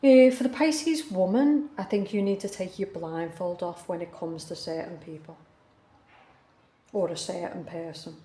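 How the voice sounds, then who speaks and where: A woman's voice: lowish voice, unhurried, dark tone, echoey room. A woman giving a casual tarot reading who is coming down with the flu and talks earnestly, repeating herself for emphasis. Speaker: tarot reader.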